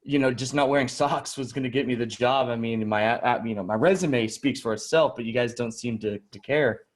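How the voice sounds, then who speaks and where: A man playing a nervous, eager job applicant in improvised sketch comedy, speaking politely and haltingly. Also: mid-range voice, close to an everyday voice. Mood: candid, bemused. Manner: hesitant, polite, stammering.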